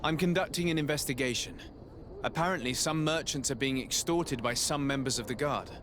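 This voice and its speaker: An actor playing a composed, insistent noble legate in a video game, speaking formally and persuasively. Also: male voice, mid-range voice, a little fast, background noise, lightly acted. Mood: dutiful. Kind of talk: game cutscene acting.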